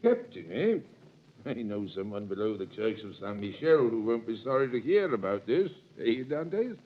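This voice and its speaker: A man's voice: on the low side, sing-song, moderate pace, slight background noise. An actor playing a sly, teasing middle-aged male tailor in a 1930s radio drama, with an insinuating, chuckling manner, on a tinny old recording.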